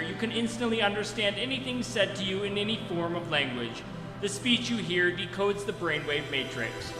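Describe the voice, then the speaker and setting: A man playing a droll, erudite guidebook narrator in a live comedy radio play, explaining things in a measured, wry way. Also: high voice. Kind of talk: scripted comic narration. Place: live stage performance in a hall. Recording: echoey hall, loud music behind.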